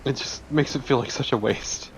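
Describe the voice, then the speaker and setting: A man with a mid-pitched voice comments casually on a video game. He is sarcastic and sweary, with faint game noise behind him. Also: normal speed, poor audio. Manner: joking, sarcastic, profane. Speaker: let's play co-host. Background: video game ambience.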